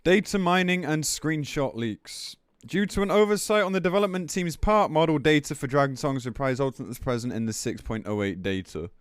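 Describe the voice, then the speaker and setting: A man's voice: fairly high pitch, lively intonation, fairly quick, hardly any pauses, clean tone, no echo, clean studio sound. A man speaking as a game streamer in a casual livestream rant, blunt, sweary and exasperated as he reads and reacts. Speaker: game streamer commenting on news.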